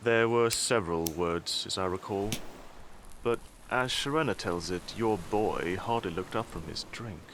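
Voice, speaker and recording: Man playing a low-voiced, sardonic elder statesman. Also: a bit bright, a little hiss or hum. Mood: dry, sardonic. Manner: measured, formal, dry wit. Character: composed, sardonic father and statesman, dryly unbothered. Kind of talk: audio drama acting.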